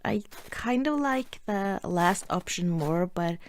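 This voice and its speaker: A woman with a Norwegian accent playing a cheerful, affectionate girlfriend in an ASMR roleplay, soft-spoken, chatty and a little hesitant. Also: mid-range voice, lively intonation, takes it slowly. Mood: chatty, musing.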